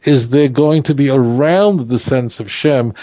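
A man whose first language is Hebrew, explaining scripture in an animated conversational study, often restarting his sentences. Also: medium pitch, moderate pace, no echo. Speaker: Torah teacher.

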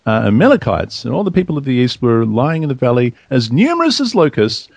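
Gravelly-voiced man reading scripture aloud. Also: mid-range voice, big pitch swings, brisk, darkish tone, no echo. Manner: reads verses aloud, then comments conversationally. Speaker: Bible study co-host reading scripture. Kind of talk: casual Bible study discussion.

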